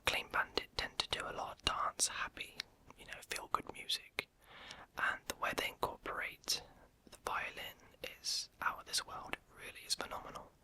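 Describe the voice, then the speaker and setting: A young adult creator answers viewer questions in a steady, fully unvoiced ASMR whisper. The whisper is very bright and crisp, with a sharp airy hiss. Talk meanders with frequent "um"s and quick self-corrections. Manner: hesitant and rambling, many "um"s, self-correcting.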